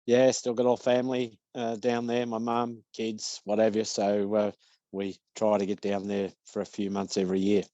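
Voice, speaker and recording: An Australian man in his sixties from Victoria, a business-owner guest telling anecdotes in a relaxed, jokey way. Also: lowish voice, moderate pace, no room sound, muffled recording. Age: 60s. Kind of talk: casual interview storytelling.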